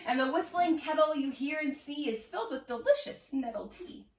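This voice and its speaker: A woman voicing a gentle, lonely male Bigfoot in a storybook, polite, kindly and welcoming. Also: high-pitched, expressive, normal speed, voice pushed up. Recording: echoey room, distant mic.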